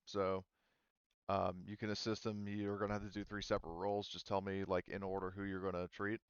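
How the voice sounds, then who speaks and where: A man's voice: sits low, monotone, a little fast, clear, resonant tone, no room sound. A man running a casual online tabletop role-playing game as game master, explaining in hesitant pieces. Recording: dull, slightly muffled audio.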